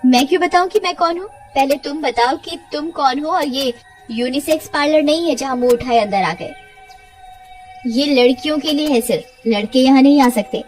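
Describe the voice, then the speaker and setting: An irritable, grumbling young woman acted out by a female narrator in a high voice. It is a cartoon story part with faint music underneath. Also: moderate pace, close to an everyday voice, poor audio. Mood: defiant.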